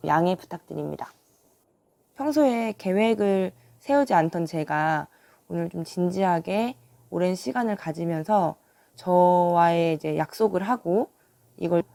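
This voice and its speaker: A young woman vlogger with a hoarse voice from a sore throat, talking calmly and earnestly to her viewers about her plans for the year. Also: lowish voice, dry recording. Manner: calm, earnest. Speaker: online seller and vlogger. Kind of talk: calm vlog talk to viewers.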